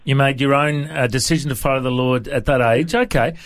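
A man hosting a radio interview, asking friendly, steady questions with the odd "uh". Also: mid-range voice, brisk. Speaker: radio host.

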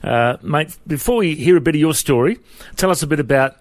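A man hosting an interview podcast on location, asking questions in a friendly, quick way. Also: fairly high pitch, no room sound. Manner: friendly, quick, affirming with short "mm"s. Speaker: podcast host. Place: on location abroad.

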